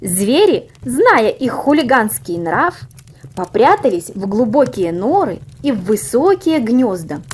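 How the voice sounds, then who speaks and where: High, animated, unhurried woman storyteller. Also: husky. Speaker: audio fairy tale reader. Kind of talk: expressive scripted storybook narration.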